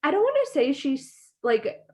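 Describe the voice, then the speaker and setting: An American woman co-hosting a podcast in informal, expressive, chatty conversation. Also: high-pitched, measured pace. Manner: informal, expressive, quotes her own reactions. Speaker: podcast co-host. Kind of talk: casual chat recapping a reality TV show.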